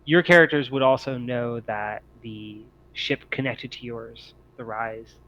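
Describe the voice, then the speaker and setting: A man's voice: mid-range voice, fairly even intonation, a little slow, a bit bright, highs cut off. A man narrating a tabletop role-playing game as game master, explaining things informally.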